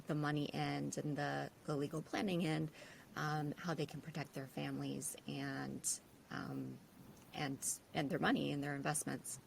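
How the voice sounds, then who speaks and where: Female podcast host, attorney, deep gravelly voice, hesitant delivery. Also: slight background noise. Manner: hesitant, with frequent fillers. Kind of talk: podcast conversation.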